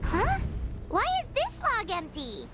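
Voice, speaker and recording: A woman voicing a tiny, excitable childlike fairy companion in a video game, squeaky and exclaiming. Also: slow pace, music in the background, full character voice. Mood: excited, puzzled.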